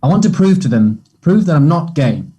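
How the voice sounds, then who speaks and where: Male actor as anxious young man, higher-pitched, breathy, halting, defensive, stage play read-through. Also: sing-song, mellow. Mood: distressed, ashamed. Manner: hesitant, halting delivery.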